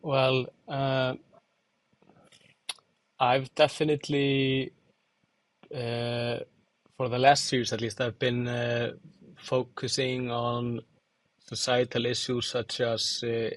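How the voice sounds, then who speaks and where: Slow, halting man in an interview. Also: medium pitch, monotone, no room sound. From Iceland and Angola. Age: young adult. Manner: hesitant, many fillers and restarts. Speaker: podcast guest, musician and designer. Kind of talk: reflective interview answers.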